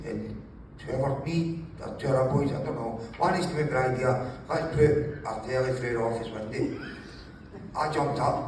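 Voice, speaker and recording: Gravelly man in an echoey room. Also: medium pitch, a little mellow. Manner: sweary, jokey, apologises for swearing. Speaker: interview guest, author. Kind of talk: animated casual storytelling. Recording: very echoey room, distant mic, faint noise.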